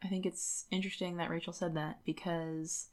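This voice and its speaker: A woman's voice: on the low side, level tone, normal speed. A woman co-hosting a podcast, talking through a book in a casual, rambling way with lots of informal filler phrasing. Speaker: podcast co-host. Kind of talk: casual book discussion chat.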